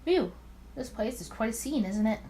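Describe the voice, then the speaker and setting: A woman's voice: a little high, animated, moderate pace, dark tone, a little hiss or hum. A woman voicing a cheerful, affectionate male detective partner in a visual novel game, with a playful, teasing manner.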